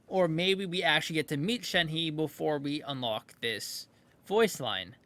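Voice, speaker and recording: A man's voice: a little high, a little slow, a bit bright. A man hosting a video in a casual, rambling, informal reaction commentary style. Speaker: video host commenting on game voice lines.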